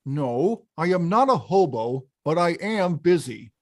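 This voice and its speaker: A woman acts out a big, clumsy cartoon game villain, a blustering guy who is more hapless than scary. The pitch swings widely and the delivery is slow, with halting bursts of exclamation. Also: very animated, a little mellow. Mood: evasive, irritated. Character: big clumsy male video-game bad guy, gruff but hapless. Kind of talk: animated film script table read.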